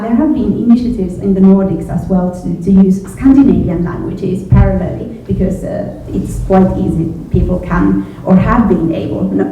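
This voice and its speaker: A middle-aged native Finnish woman with a Finnish accent, answering on a panel in a halting, thoughtful way and often repeating words. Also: mid-range voice, mellow. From Finland. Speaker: panelist, journalism educator. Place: echoey indoor event room with a panel. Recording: echoey room from far off, phone-like, faint noise.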